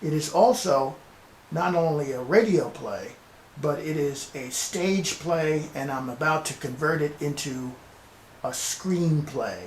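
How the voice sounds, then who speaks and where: A man with a slightly high voice presents his creative work to camera. He speaks deliberately, in short separated phrases. The room is clearly echoey, with a faint hiss behind him. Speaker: playwright presenting his scripts. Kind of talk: semi-scripted video presentation. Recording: roomy echo with background hiss.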